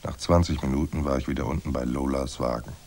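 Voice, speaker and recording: An actor playing a hard-boiled private detective in a noir radio drama, dry, laconic and sardonic. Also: male voice, extremely low pitch, steady tone, fast talker, creaky, a little mellow, slightly rough, heavily acted. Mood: dry, wry. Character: hard-boiled male private detective narrating, wry and unflappable. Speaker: narrator and lead actor.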